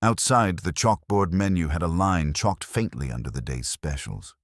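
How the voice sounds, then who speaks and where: A man's voice: deep voice, lively intonation, normal speed, no echo, studio quality. A man reading a scripted first-person detective audiobook in a wry storytelling manner.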